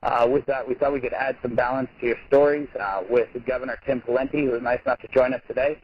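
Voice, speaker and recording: A man's voice: medium pitch, flat delivery, fast talker, breathy edge. A man hosting a press conference call, giving an informal introduction over a muffled phone line. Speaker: campaign aide introducing the speaker and hosting the call.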